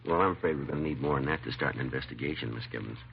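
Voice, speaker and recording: An actor playing a calm, businesslike American police detective in a 1950s radio drama, terse and polite in his questioning. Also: rumbling low, very fast, creaky. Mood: measured, businesslike. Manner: terse, deadpan, understated, polite. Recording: muffled vintage radio recording, faint hiss.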